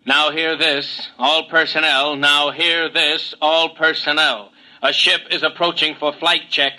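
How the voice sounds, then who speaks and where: An actor playing a male space station announcer giving slow, formal, urgent loudspeaker calls in a radio drama. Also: fairly high pitch, level tone. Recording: muffled old radio recording with music.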